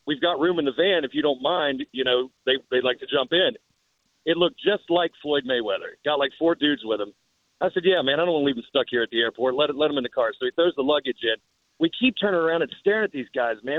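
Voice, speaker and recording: A man's voice: on the high side, lively intonation, fast talker, no echo. A man calling in to a radio show over the phone, chatting and telling stories casually. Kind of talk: casual storytelling and chat. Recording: tinny dry phone line.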